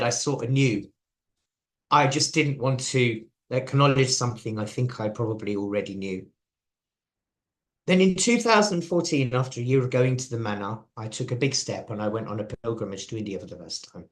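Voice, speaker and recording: A man, likely in his forties, giving a reflective personal testimony, laughing softly and often restarting his sentences. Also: medium pitch. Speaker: devotee sharing his story.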